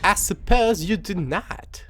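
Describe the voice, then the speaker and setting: A man voicing a smug, scheming scientist in a storybook war tale, with a put-on foreign accent and drawn-out, mocking delivery. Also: high voice, a bit bright, a little hiss or hum, pitched above the performer's own voice. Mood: smug, taunting. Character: scheming, smug male scientist defecting from his dark lord.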